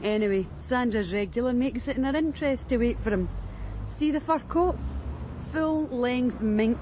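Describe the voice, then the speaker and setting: A woman acts a brash, matter-of-fact Glaswegian streetwalker in a radio drama. Her voice is on the high side, and she talks frankly and breezily. Faint urban street noise runs underneath. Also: moderate pace, darkish tone, lightly acted. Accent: Glaswegian Scottish. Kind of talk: naturalistic radio drama acting.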